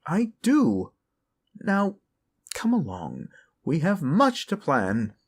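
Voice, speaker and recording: Man playing a theatrical, scheming lich necromancer, lively pitch. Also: takes it slowly, exaggerated voice. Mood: brisk, commanding. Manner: genteel, commanding, wheedling. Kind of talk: fantasy roleplay audio acting.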